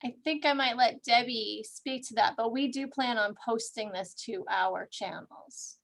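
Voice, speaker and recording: A woman's voice: a little high, unhurried, vocal fry, fairly bright tone. A tired woman co-hosting an online event, making informal announcements to the participants. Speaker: event organizer, co-host. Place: online video call.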